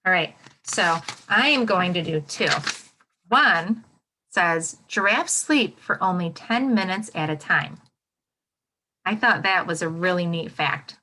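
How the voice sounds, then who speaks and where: A woman's voice: medium pitch, measured pace, bright, forceful tone, rough recording. A woman with an American accent reading aloud to children as a teacher, exclaiming and putting questions to her young listeners. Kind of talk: children's read-aloud lesson with commentary.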